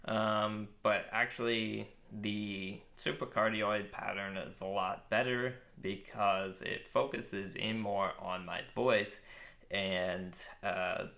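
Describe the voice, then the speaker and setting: A young man with a very low voice casually reviewing a microphone outdoors, relaxed and thoughtful, with frequent ums and uhs. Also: slow pace, creaky. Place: outdoors with birds nearby. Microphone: shotgun mic on phone.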